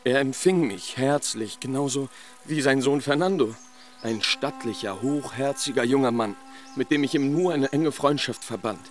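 A man's voice: mid-range voice, normal speed, soft background music, natural voice. Man acting a lovelorn, despairing young nobleman. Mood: wistful. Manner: ornate, impassioned storytelling. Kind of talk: German radio play acting.

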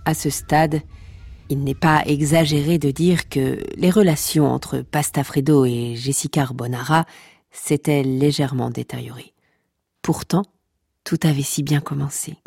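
An actress playing a droll narrator of a comic saga, wry and mock-solemn, speaking straight to the listener. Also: female voice, a bass voice, creaky, a little mellow, slightly rough, heavily acted. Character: droll, mock-epic female storyteller of a pasta-cult saga.

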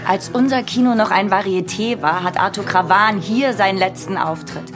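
An actress playing a practical activist organiser in a radio drama, speaking in a matter-of-fact way. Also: female voice, mid-range voice, normal speed, close to an everyday voice. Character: practical female activist organiser in an art group. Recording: music under the dialogue.

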